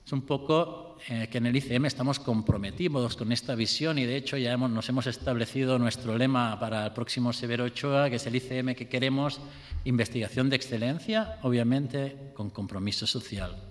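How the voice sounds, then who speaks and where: A man giving a formal, measured conference talk as an institute director. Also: mid-range voice, in a large hall.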